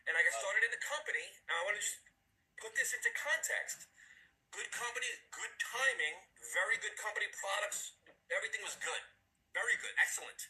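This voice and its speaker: Bright, animated New York man. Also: high-pitched, fairly quick, rough recording. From New York City, USA. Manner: animated storytelling, acts out quoted voices. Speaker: guest speaker, former police officer and firefighter. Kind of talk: motivational testimonial. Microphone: video call.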